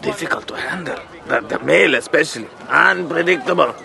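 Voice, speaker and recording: An actor playing a shifty, wheedling male slave dealer with halting sales patter in an audio drama. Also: a little high, vocal fry. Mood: wheedling, oily. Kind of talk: radio drama acting. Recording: busy outdoor market crowd. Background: crowd.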